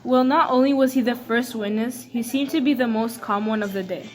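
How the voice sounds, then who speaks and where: A woman's voice: on the high side, a little fast, faint music behind. A woman reading a scripted news report aloud as one of two presenters.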